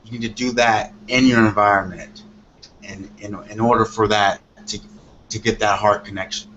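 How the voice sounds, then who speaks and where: A low-pitched man gives a tarot reading on a call-in show. He speaks haltingly, pausing as he moves between explaining and reading out meanings. The sound is slightly muffled, like an internet call, with faint noise. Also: steady tone. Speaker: guest tarot reader. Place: remote online call on a talk show.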